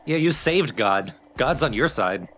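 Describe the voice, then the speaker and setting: A man's voice: mid-range voice, expressive, a little slow, a bit bright, a little hiss or hum. Man chatting casually over game music. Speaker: co-commentator on a let's play. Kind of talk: casual chat over gameplay.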